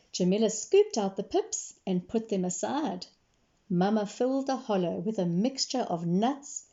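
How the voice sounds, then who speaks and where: A woman reading a children's story aloud in an expressive, animated way, acting out the dialogue. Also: medium pitch. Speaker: storybook reader, librarian. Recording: dull, lo-fi sound.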